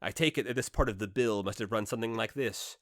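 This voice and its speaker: A man reading a classic first-person novel aloud as an audiobook narrator, with theatrical, emphatic phrasing and dramatic pauses. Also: lowish voice, fairly bright tone, no echo, studio quality.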